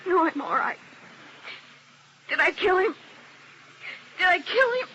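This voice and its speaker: A woman playing a distraught, vengeful thirtyish woman, shrill and overwrought, in old radio melodrama. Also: sing-song, measured pace, pushed and bright, highs cut off, heavily acted. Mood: hysterical, bitter. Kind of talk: 1950s radio melodrama acting. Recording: hissy old radio recording.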